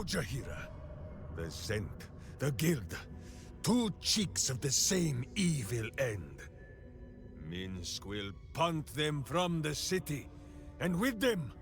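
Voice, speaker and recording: An actor playing a big, simple-hearted heroic warrior in a video game, righteous and grandiose, speaking in a theatrical manner. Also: male voice, fairly high pitch, huge pitch swings, takes it slowly, faint music behind, exaggerated voice. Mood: righteous, bombastic. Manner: theatrical, grandiose, speaks of himself in third person. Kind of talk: video game character acting.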